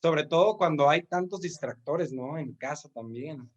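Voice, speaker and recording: A man's voice: on the high side, a little slow, highs cut off. A young man with a Mexican accent, interviewing a guest in a friendly, casual way and often using the filler "este". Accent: Mexican Spanish. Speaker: interviewer, theater student.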